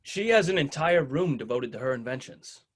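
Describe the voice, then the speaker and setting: A woman acting as a wry sidekick with sardonic asides. Her voice is extremely low for a woman and husky, delivered dryly in a script read. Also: animated, moderate pace, full character voice. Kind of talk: table read of a screenplay.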